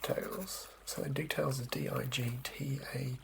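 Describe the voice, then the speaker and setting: A man whispering softly as he rambles through building a tabletop role-playing character, with lots of fillers and pauses. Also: mid-range voice, fairly even intonation, creaky, background noise. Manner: soft unvoiced whispering, rambling with many fillers; writes by hand as he talks. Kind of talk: whispered ASMR chat.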